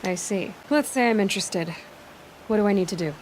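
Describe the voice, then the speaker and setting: A woman's voice: mid-range voice, fairly quick, slight background noise, close to an everyday voice, rough recording. An actress playing a self-assured young woman hunter in a video game, dry, guarded and skeptical. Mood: wry, skeptical. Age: young adult. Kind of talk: video game dialogue acting. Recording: music clearly behind the voice.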